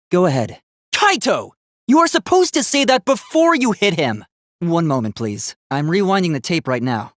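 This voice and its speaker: Casting: earnest young robot boy for a video game, very expressive pitch, courteous and literal-minded, close dry sound. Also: dry recording, heavily acted. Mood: polite, earnest, then alarmed, urgent. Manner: formal, precise, literal-minded phrasing. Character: earnest, polite young male robot, naive but resolute.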